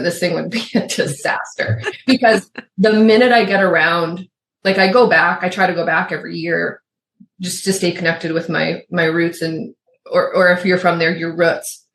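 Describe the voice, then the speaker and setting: A woman's voice: fairly low pitch, a bit bright. A middle-aged woman from Michigan's Upper Peninsula with a faint Yooper accent, co-hosting a podcast in rambling casual chat. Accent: Yooper, suppressed. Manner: chatty, rambling with restarts and asides. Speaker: podcast co-host. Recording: roomy echo.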